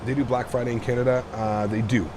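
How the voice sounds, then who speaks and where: A man with a deep voice, hoarse from being sick, casually chatting with viewers on a live stream while flying. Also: fast talker, heavy background noise. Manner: relaxed, thinks aloud, reads and answers chat. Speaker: flight sim streamer.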